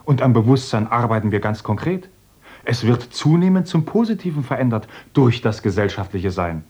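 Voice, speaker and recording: A man's voice: mid-range voice, very animated, moderate pace, warm tone, breathy edge. An actor playing an idealistic young male teacher in a radio play, earnest and lecturing. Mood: fervent. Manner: earnest, lecturing, grows fervent. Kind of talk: radio drama acting.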